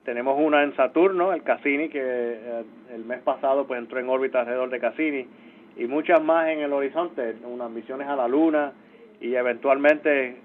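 A man's voice: mid-range voice, a little hiss or hum. Puerto Rican man on a tinny phone line. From Puerto Rico. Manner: halting, many restarts and fillers. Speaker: space agency mission coordinator, interview guest. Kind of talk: phone interview.

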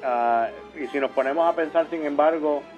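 A Puerto Rican man, a space agency mission coordinator, explaining things in a halting way with many restarts. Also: fairly high pitch, soft background music. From Puerto Rico. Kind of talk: phone interview. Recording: tinny phone line.

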